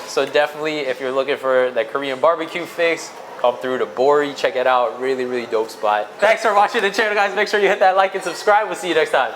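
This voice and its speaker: A man's voice: fairly high pitch, fairly even intonation, fast talker, hardly any pauses. A man hosting a casual, joking chat over dinner at a restaurant, laughing often. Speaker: restaurant owner and show host. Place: restaurant table. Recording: noisy restaurant background.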